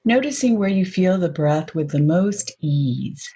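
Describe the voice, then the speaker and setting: A woman leading a guided meditation in a soft, unhurried, gently inviting way, on a dull lo-fi recording. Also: fairly low pitch, animated, husky.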